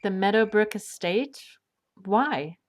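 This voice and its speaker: Level-headed swashbuckling partner, played by a woman: dry, bookish, skeptical, unhurried and exact in audio drama. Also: mid-range voice, lively intonation. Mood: brisk, wary. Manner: precise, dry, measured. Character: sensible, bookish woman adventurer, dryly skeptical.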